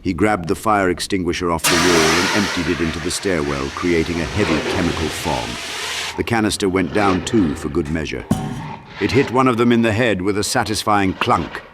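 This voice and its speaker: A man's voice: sits low, normal speed, loud music behind the voice. A man reading a horror audiobook as a narrator, in a theatrical, intense storytelling manner. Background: sound effects with clicks.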